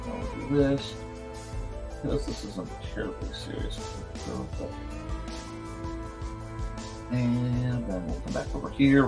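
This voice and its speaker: Croaky, low-voiced man with swooping pitch. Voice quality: slightly croaky, strained. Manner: goofy, rambling, half talking to himself. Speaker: cartoonist hosting a live art show. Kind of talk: casual chat while drawing.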